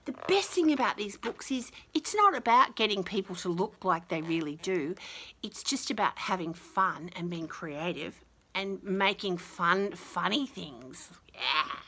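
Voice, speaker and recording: Animated, bright-voiced woman storyteller for kids. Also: mid-range voice, husky. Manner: gushing, enthusiastic, talking to small children; expressive, exaggerated emphasis. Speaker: children's storyteller and host. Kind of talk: picture book reading with chatty commentary for young children.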